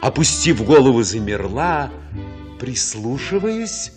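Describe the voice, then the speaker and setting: A man playing the narrator of a radio drama, recalling childhood in an expressive, absorbed, poetic manner. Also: mid-range voice, slow. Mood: hushed wonder. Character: male storyteller recalling his childhood with wonder. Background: music.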